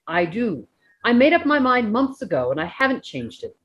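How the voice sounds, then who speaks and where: Genial, determined little hobbit man, female reader, storybook style, very animated pitch, husky, slightly muffled. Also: normal speed. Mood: resolved. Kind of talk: volunteer storybook reading. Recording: dull lo-fi microphone.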